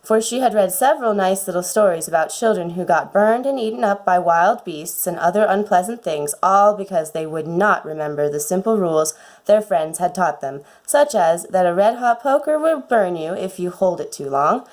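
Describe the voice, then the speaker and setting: A woman reading a children's storybook aloud with a put-on British accent, in an expressive storytelling manner. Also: fairly low pitch, normal speed. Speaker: audiobook reader.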